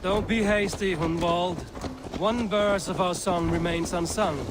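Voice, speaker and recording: Bold, higher-pitched male Viking warrior. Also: fairly even intonation, unhurried. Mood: assured. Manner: assured, terse. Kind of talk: video game cutscene dialogue. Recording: clear outdoor ambient noise behind.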